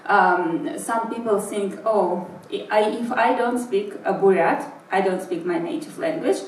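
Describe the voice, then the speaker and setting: A woman with a Russian accent answering interview questions in English, thinking aloud with many pauses and fillers. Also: medium pitch, slight background noise. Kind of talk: thoughtful spoken answer in English. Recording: roomy sound from a distant mic.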